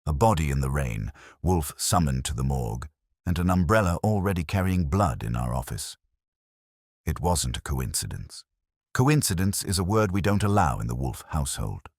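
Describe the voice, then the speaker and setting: A man's voice: a bass voice, sing-song, moderate pace, no echo, clean studio sound. A man narrating a scripted first-person detective story in a wry, hardboiled storytelling manner. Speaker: audiobook narrator.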